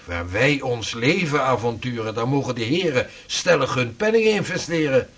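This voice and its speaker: An actor playing an earnest 16th-century navigator and mapmaker who talks in a measured, formal way. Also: male voice, mid-range voice, lively intonation, a bit bright. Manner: measured, formal, old-fashioned speech. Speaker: seafaring cartographer. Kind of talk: radio drama acting.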